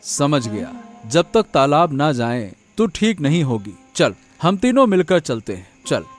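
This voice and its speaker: A man voicing a concerned, reassuring village father in a cartoon story, expressive and soothing. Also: on the high side, unhurried, soft background music. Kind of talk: animated moral story dramatization.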